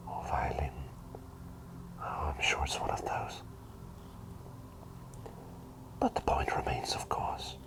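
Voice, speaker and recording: A male performer plays a gentle plague doctor who dotes on his patient in an ASMR roleplay. He whispers in an extremely deep register and takes his time, leaving soft pauses. The delivery is soothing and caring, with a playful streak. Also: heavily acted. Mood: affectionate, shy. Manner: slow, soothing, softly attentive, with gentle pauses.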